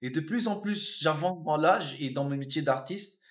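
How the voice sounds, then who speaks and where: A man in his fifties from Tunisia with a Tunisian accent, a comedian and actor telling his life story in a relaxed interview, thoughtful, with frequent restarts and fillers. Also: on the high side, normal speed. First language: Arabic. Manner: reflective, repeats and restarts words, many fillers.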